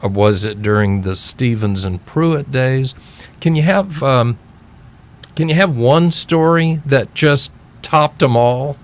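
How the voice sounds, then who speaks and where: A man with a medium-pitched voice speaks as an interview host. His delivery is very slow and halting, as he builds unscripted questions piece by piece.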